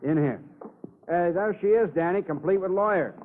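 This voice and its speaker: A man's voice: sits high, moderate pace, lightly acted. Wry radio cop sidekick, a male actor. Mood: businesslike. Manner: sardonic, clipped, tagging remarks with questions. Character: wry, matter-of-fact male police detective sidekick. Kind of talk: 1950s radio crime drama acting. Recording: narrow-band old radio, faint noise.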